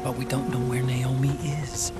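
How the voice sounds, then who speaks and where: An actor playing a soldier's earnest, worried tech partner, speaking with hesitant concern in a game cutscene. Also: male voice, mid-range voice, completely flat delivery, moderate pace, loud music behind the voice, lightly acted. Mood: worried, somber. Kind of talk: dramatic video game cutscene acting. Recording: very heavy background noise.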